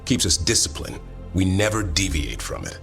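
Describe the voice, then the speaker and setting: An actor playing a wry, earnest warrior of an honorable fantasy order in a video game, with dry, measured delivery. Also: male voice, low-pitched, very animated, a bit bright, faint music behind, exaggerated voice. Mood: wry, relaxed.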